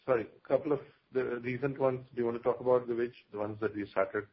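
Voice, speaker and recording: Husky-voiced male executive on a conference call. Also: mid-range voice, fairly even intonation, fast talker. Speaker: company executive on an earnings call. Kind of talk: explanatory business talk on a conference call. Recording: dull, lo-fi conference call audio.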